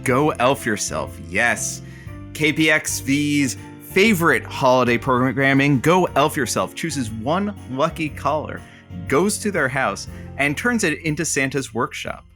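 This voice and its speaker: Male radio announcer, showy, wry, blunt, very animated pitch, audio drama. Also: unhurried, soft background music. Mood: showy, hyped.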